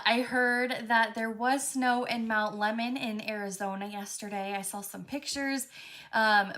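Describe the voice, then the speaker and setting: A woman's voice: on the high side, a bit bright. A woman hosting a live crafting stream, chatting casually with lots of fillers, a little stuffy from a cold. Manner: chatty, friendly, many fillers. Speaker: crafting show host.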